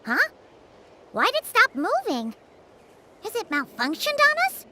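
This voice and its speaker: A woman voicing a tiny, excitable fairy companion in a video game, squeaky and childlike. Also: a little slow, noisy background, full character voice. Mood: puzzled.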